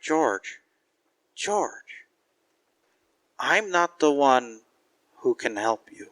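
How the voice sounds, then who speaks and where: A man playing a gentle, bumbling guardian angel in a 1940s-style radio drama, speaking in an earnest, theatrical way. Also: medium pitch, very animated, extremely slow, exaggerated voice. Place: large church, performed live. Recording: live stage recording in a reverberant hall.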